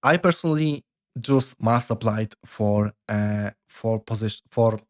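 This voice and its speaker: A young man with a Polish accent giving casual career advice, halting and full of fillers and restarts. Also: lowish voice. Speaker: software developer vlogger.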